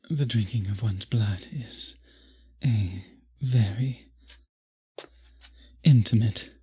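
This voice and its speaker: A man playing a possessive, doting male vampire in an intimate ASMR roleplay, speaking formally in a husky, breathy murmur that often drops to a whisper. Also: on the low side, darkish tone, full character voice. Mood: reverent, seductive.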